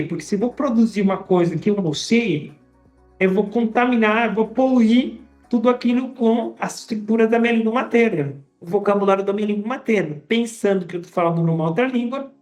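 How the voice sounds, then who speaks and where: A language teacher talking casually to viewers, often tagging sentences with a quick question and restarting phrases. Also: medium pitch. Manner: conversational, frequent tag questions and restarts. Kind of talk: casual teaching monologue to camera.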